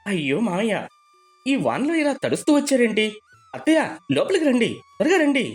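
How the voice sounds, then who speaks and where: Man acting a lively, good-humoured poor villager. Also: extremely high pitch, a little fast, faint music behind, exaggerated voice. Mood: welcoming. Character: poor good-humoured village father, welcoming and self-deprecating. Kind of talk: acted moral storybook dialogue.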